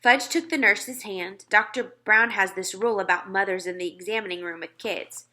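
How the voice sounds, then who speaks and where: A woman reading a children's story aloud with expressive narration. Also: mid-range voice, moderate pace.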